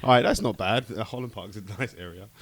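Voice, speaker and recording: A man's voice: on the low side, expressive, fast talker, crowd noise. A man in his thirties hosting a casual studio interview, musing and asking rambling questions. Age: 30s. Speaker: talk show host.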